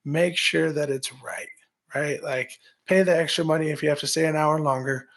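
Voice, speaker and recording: A man with a raspy voice, a musician guest talking in an energetic, pep-talk way and often tagging on "right?". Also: fairly high pitch, moderate pace. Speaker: musician guest, former baseball pitcher. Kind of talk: casual interview talk.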